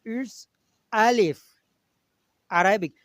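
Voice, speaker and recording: A man from Pakistan whose first language is Urdu, teaching a Quran lesson over a video call in halting English with many pauses. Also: sits high, a bit bright, no echo, studio quality. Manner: halting English with frequent pauses and throat-clearing.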